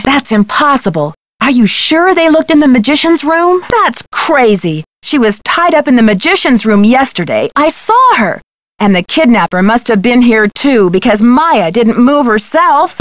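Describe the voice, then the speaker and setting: A woman's voice: fairly high pitch, dry recording. A woman playing a plucky, determined young amateur detective in a video game, earnest and inquisitive. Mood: insistent, alarmed. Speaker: voice actress in a detective adventure game. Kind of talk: scripted video game dialogue.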